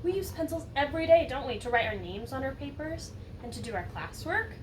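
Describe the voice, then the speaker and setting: A woman with a high voice reads a children's story aloud in an animated, teacherly way. She engages young listeners with questions and asides. The mic sits at a distance, with light noise behind her. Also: brisk. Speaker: teacher reading a picture book to children.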